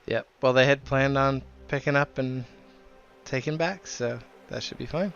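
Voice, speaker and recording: A man's voice: mid-range voice, normal speed, gravelly, a bit bright, clear, resonant tone, dull audio. Friendly guy streaming a game, rambly casual chat, faint music in the back.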